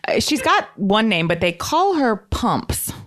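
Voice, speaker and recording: A woman's voice: medium pitch, very animated, a little slow. A woman co-hosting a podcast in casual comedic chat, wry and playfully exaggerating. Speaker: podcast co-host.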